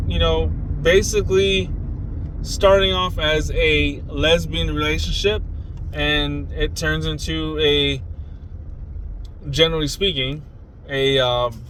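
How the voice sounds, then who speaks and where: Male commentary host, blunt and rambling, slightly high pitch, outdoor street noise. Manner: rambling, filler-heavy, blunt. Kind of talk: casual opinion commentary.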